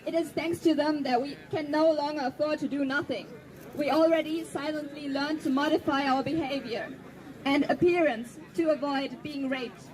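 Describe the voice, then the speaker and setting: High-pitched woman giving a speech. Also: steady tone, darkish tone, some chatter behind, poor audio. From Germany. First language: German. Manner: earnest, pointed, slows down for emphasis. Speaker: activist speaker. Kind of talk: prepared speech to an audience.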